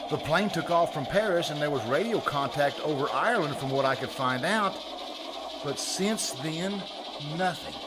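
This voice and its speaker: A man playing a worried character haltingly breaking bad news in an old-time radio drama, in a grave manner. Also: fairly high pitch, expressive, close to an everyday voice. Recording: very noisy live stage recording.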